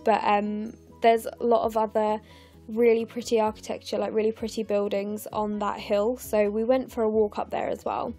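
A young English woman in her twenties, narrating her scrapbooking in a chatty, rambling way with lots of fillers, her voice croaky and tired late at night. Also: mid-range voice, little pitch movement, moderate pace, mellow, soft background music. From England. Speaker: scrapbooking vlogger. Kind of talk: casual voice-over narration of a craft process. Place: home, recorded late at night.

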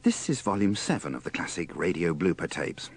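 A man's voice: low voice, big pitch swings, a little fast, husky. A man presenting a compilation, delivering scripted narration in a dry, tongue-in-cheek way.

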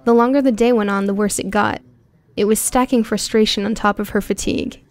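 A woman reading a prose story aloud in an even, clear storytelling voice, recorded close on a studio mic. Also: mid-range voice, brisk. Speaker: audiobook narrator. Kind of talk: scripted narration.

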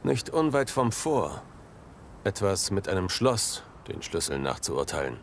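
An actor playing a gruff, thoughtful male ghost hunter in a video game. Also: low-pitched, very animated, a little slow, a little hiss or hum, exaggerated voice. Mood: pondering. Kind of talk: video game dialogue acting.

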